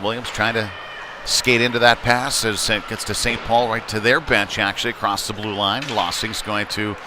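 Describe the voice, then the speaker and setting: A man giving live play-by-play commentary on ice hockey in a halting way, with frequent pauses. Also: fairly low pitch, level tone. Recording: noisy live rink broadcast.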